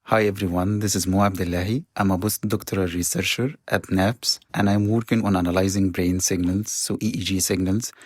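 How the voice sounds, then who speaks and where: A man's voice: deep voice, fairly even intonation, a little fast, few pauses, no echo, clean studio sound. A man from Egypt, a postdoctoral researcher, introducing himself evenly.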